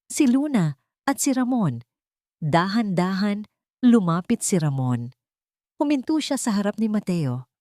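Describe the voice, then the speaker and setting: A woman's voice: mid-range voice, a little mellow, no room sound, studio quality. A woman with a Filipino accent reading scripted, dramatic story narration for an audio drama.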